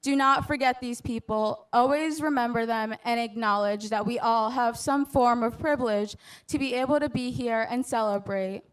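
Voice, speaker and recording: A young woman graduating from high school, delivering an earnest, prepared commencement speech at a measured pace. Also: clear, resonant tone, low-quality recording. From United States. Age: young adult. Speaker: graduating student speaker.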